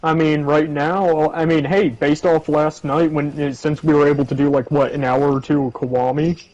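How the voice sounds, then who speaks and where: A man's voice: a little high, level tone, normal speed, darkish tone. A man chatting casually during an online game, blunt, teasing and swearing often, over lo-fi voice chat. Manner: blunt, foul-mouthed, teasing. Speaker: gamer in a co-op session. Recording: dull, lo-fi voice chat audio.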